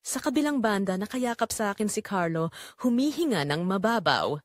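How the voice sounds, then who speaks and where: A woman's voice: medium pitch, sing-song, moderate pace, no room sound, studio quality. A woman reading scripted first-person narration for a dramatic audio story.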